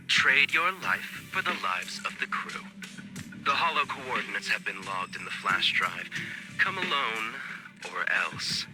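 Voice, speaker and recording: A man's voice: mid-range voice, poor audio. An actor voicing a grandiose, menacing male cult orator in a video game, preaching with pompous, theatrical flourish. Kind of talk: dramatic video game villain acting.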